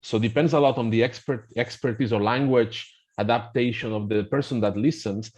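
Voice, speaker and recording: A man's voice: mid-range voice, fairly even intonation, a little fast, a little mellow, husky. A middle-aged man with a Spanish accent, explaining things in a hesitant conversational way, full of fillers and restarts. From Spain. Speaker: language app representative, guest.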